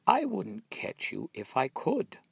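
A sly, well-mannered fox from a storybook, read by a man. He coaxes politely in a gravelly, husky voice, recorded dry. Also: high voice, wildly swooping pitch, slow, no echo, voice pushed up, full character voice. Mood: coaxing. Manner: polite, coaxing. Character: sly polite fox luring his prey.

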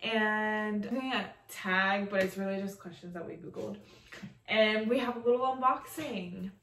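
A woman's voice: medium pitch, moderate pace, fairly bright tone. A young woman from Mexico chatting casually about plants in a bedroom, filmed by a camera across the room. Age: young adult. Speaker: plant vlogger. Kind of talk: casual chat. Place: bedroom, seated on bed. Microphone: far camera. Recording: roomy echo, distant from mic.

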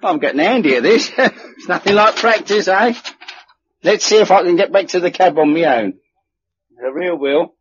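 An actor playing a joking working-class blind war veteran, chatty and wry, in a radio drama. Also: male voice, high voice, expressive, moderate pace. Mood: busy, jovial. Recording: muffled old radio recording, loud background noise.

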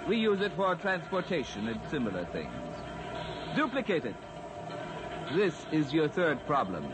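High-voiced, formal alien overseer, noisy recording. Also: male voice, wildly swooping pitch, unhurried. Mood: coolly formal. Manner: formal, precise, theatrical. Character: male alien overseer, formal, haughty, easily flustered. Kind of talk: 1950s radio drama acting. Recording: noisy old radio drama recording.

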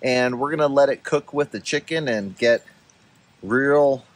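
A man's voice: mid-range voice, measured pace, no echo. A man in his thirties, filming a casual camp vlog outdoors at a mountain campsite and explaining things in an easygoing way as he goes. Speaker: overlanding vlogger.

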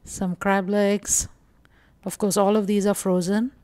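A woman from India narrating a casual shopping walkthrough vlog, calm and explanatory with frequent pauses and fillers. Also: medium pitch, dry recording. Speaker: vlogger.

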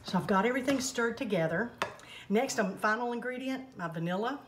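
A woman's voice: on the high side, lively intonation, brisk. An older woman shows how to make a cake in her home kitchen. She explains each step in a homey, instructive way. Her voice bounces off the kitchen walls, with faint clatter of bowls and pans behind her. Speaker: cooking segment host. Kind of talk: home cooking demonstration. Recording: echoey kitchen with faint noise. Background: clinking pots, bowls and utensils.

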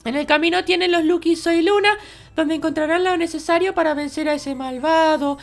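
A performer voicing an excitable baby boy hero in a Minecraft roleplay, with a high, childlike voice and stammering exclamations. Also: brisk, gravelly. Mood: reading aloud. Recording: music behind voice.

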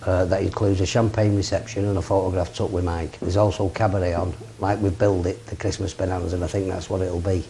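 A man's voice: low-pitched, flat delivery, brisk, few pauses, warm tone, a little hiss or hum. A middle-aged man, a boxing promoter talking plainly in an office interview. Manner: plain-spoken, rambling lists. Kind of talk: seated interview. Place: office with framed memorabilia. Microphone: lapel.

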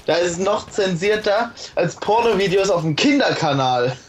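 A man's voice: sits high, lively intonation, normal speed. A young man chatting casually with friends over an online game, joking and teasing and laughing often. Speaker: gamer. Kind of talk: casual gaming chat with friends.

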